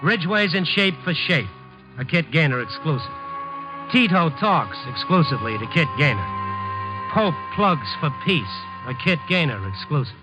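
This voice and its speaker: A man's voice: fairly high pitch, sing-song, moderate pace. An actor playing a wry, guarded newspaperman with a dry, clipped manner in a 1950s radio drama. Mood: reflective, wry. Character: wry, guarded male Chicago newspaperman narrating his romance. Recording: muffled 1950s radio broadcast, music behind.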